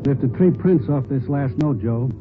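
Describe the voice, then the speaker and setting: A man's voice: mid-range voice, unhurried, natural voice. A man as a plain-spoken crime lab technician. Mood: explanatory. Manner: plain and explanatory. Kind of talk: 1950s radio drama acting. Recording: tinny old radio broadcast with music.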